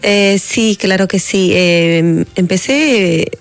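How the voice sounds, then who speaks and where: A middle-aged Peruvian woman from the Andes, a singer, talking reflectively in an interview with many pauses and fillers. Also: medium pitch. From Andahuaylas, Peru. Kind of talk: casual interview talk.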